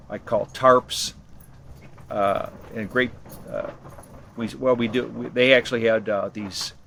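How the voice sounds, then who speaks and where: Low-voiced man chatting over clear background noise. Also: fairly bright tone. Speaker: interviewee, ham radio expedition team member. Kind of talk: casual interview account. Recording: clearly noisy location sound.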